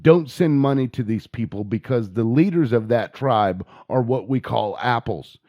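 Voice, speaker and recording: An American man talking informally and giving his opinions in a halting way, repeating words as he goes. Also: mid-range voice, mellow, no room sound, dull audio. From United States. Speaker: video blogger and activist. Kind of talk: informal opinion monologue and phone inquiry.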